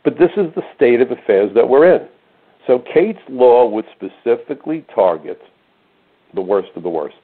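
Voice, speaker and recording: A man's voice: medium pitch, lively intonation, moderate pace, slightly rough. An older man hosting a radio talk show, telling stories emphatically with sarcastic asides. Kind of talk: conservative talk radio monologue. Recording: narrow phone-like radio audio.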